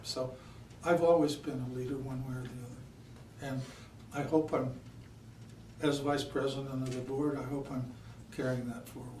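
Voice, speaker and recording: A man's voice: mid-range voice, fairly even intonation, moderate pace, pauses often, a little mellow. A plain-spoken man answering at a public forum in short, choppy statements. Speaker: school board member, former teacher and applicant. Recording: echoey room, distant mic, faint noise.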